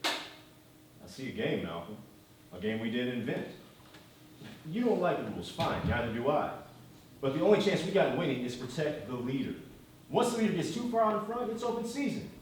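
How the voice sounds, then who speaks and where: A man's voice: medium pitch, big pitch swings, normal speed, long pauses, lightly acted. A man acting in a stage play as a loyal, worried, hard-headed bodyguard and aide, urgent and pleading in his delivery. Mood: stern, insistent. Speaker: stage actor. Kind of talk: live one-act stage play acting. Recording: very echoey stage, distant mic, faint noise.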